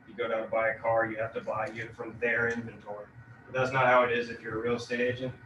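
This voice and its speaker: A man giving a relaxed real estate lecture, leaning on fillers as he explains. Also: medium pitch. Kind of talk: casual classroom lecture. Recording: echoey room, distant mic, noisy.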